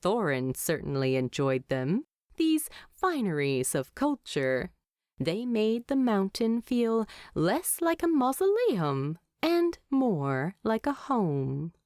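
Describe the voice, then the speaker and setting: A woman narrator reading fan fiction aloud in an expressive storytelling style. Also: medium pitch, takes it slowly, no room sound, clean studio sound. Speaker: audiobook narrator.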